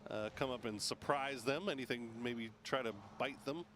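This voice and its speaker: A man works as an esports commentator at a middling pitch. He calls the game live and banters with a partner. There is faint noise and game ambience behind his voice. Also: moderate pace, a bit bright. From North America.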